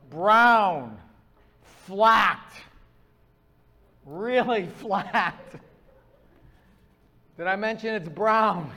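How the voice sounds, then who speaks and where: A man's voice: high-pitched, pushed and bright. A middle-aged man with a northern US accent, a pastor from the Upper Midwest giving a sermon and telling stories with self-mocking humor.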